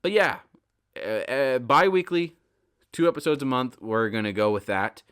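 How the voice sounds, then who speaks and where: A man in his twenties hosting a casual solo podcast, rambling and stammering over repeats, swinging between loud bursts and quiet asides. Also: mid-range voice, animated, normal speed, clean tone. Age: 20s. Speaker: podcast host.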